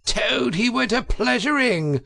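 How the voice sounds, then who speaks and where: A man voicing a vain, excitable toad in a storybook, frenzied and indignant in manner. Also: very high-pitched, measured pace, creaky, pitched above the performer's own voice, heavily acted. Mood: frenzied defiance. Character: excitable, vain, hot-headed male toad. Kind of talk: audiobook character voice.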